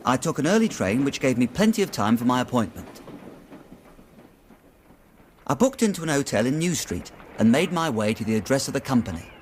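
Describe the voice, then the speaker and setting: A man acting in a period radio drama, playing an earnest, excitable young Victorian clerk with a British accent and a polite, eager way of telling things. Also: mid-range voice, brisk, long pauses, a little hiss or hum, lightly acted. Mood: uneasy, narrating.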